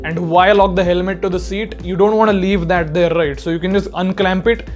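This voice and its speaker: A man's voice: sits high, steady tone, brisk, soft background music. A young man giving a casual, explanatory product review in an informal way. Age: young adult. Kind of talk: casual spoken review to camera.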